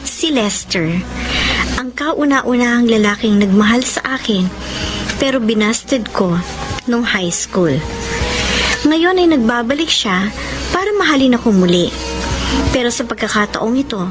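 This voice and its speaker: A high-voiced Filipino woman, a warm, grateful mother separated from her husband. She tells her love story in heartfelt radio-drama style, with music behind her. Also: expressive, unhurried, long pauses. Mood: tender, hopeful. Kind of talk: Filipino radio drama acting and letter narration.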